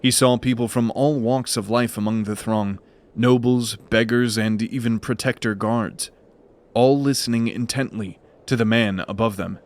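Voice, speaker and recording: Male narrator, medium pitch, measured dramatic storytelling for a scripted fantasy audio drama. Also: steady tone.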